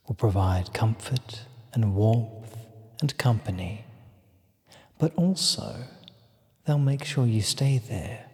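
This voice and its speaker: A man with a fairly low voice guides a relaxation session very slowly. His delivery is hushed and soothing, with measured instructions that trail into breath at the ends of phrases. A long reverb hangs over every word. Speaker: guided meditation narrator. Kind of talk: slow guided relaxation script.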